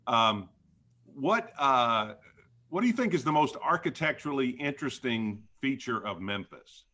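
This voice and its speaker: A man hosts a podcast and interviews a guest. He speaks hesitantly, with frequent ums and uhs. His voice is bright and clear, with a pitch in the middle range for a man. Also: big pitch swings, unhurried. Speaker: podcast host.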